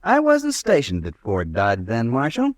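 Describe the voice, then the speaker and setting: A haughty young cavalry officer in an old radio western, his pitch swinging widely as he talks. He is clipped, formal and proud. The sound is dry. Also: huge pitch swings, a little slow, no echo. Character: proud, arrogant young male cavalry lieutenant. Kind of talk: 1950s radio drama acting.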